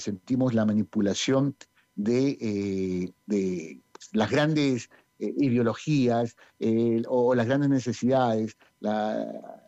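A man's voice: medium pitch, mellow, no echo, dull audio. A Peruvian man with a Peruvian Spanish accent who directs a community theatre group, talking in a radio interview and hesitating with many fillers. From Peru.

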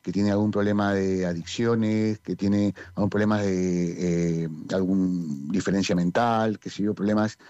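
A man speaks with a Peruvian accent, his voice low and mellow. He answers in long, halting stretches broken by hesitations. The sound is dull and dry, like a close studio interview. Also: fairly even intonation, dry recording. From Peru. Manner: rambling, many hesitations. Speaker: community theatre director.

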